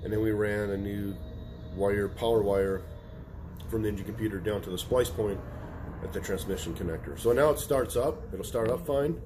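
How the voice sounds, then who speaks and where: A man, an auto mechanic, hoarse from a head cold, giving a plain, matter-of-fact update on a repair. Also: lowish voice, normal speed, noisy background.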